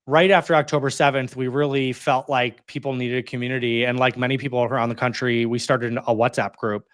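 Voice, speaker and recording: A man's voice: medium pitch, clean tone, dry recording, studio quality. A man in his thirties, a guest on an interview show, telling his story in a casual, conversational way and filling his talk with "like" and "you know". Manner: chatty, heavy use of "like" and "you know", quick laughs. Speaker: interview guest, community organizer.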